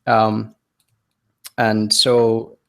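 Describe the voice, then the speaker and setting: A man in his thirties from Scotland, his accent softened by years in the US. He is a podcast guest telling his story in casual chat, hesitant and self-deprecating, with plenty of ums and restarts. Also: lowish voice, completely flat delivery, very slow, creaky. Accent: Scottish, softened by years in the US.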